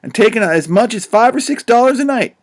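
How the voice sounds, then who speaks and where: A man's voice: extremely high pitch, expressive, fairly quick. A man voicing an old bald male con man and preacher with a folksy backwoods drawl in an audiobook. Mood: boastful. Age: older. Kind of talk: audiobook character voice.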